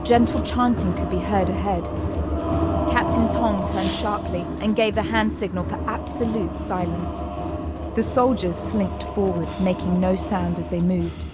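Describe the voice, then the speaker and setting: A woman's voice: medium pitch, fairly even intonation. Woman narrator, suspenseful, over music. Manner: measured, suspenseful. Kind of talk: scripted dramatic narration of sci-fi audio drama.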